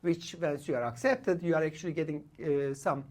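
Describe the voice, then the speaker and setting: This is a man in his late forties who grew up in Turkey and whose first language is Kurdish. He is a guest in a relaxed podcast interview in a studio. He speaks in short, halting bursts, filling the gaps with "uh" and restarting sentences as he goes. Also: on the high side. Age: late 40s. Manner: talks in short bursts with frequent "uh" pauses and restarts. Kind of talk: casual interview.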